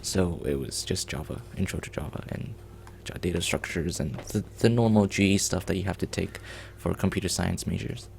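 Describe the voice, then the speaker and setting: A man's voice: low-pitched, normal speed, gravelly, a little mellow, a little hiss or hum. A man hosting a programming livestream, explaining in a rambling casual way with many ums and restarts.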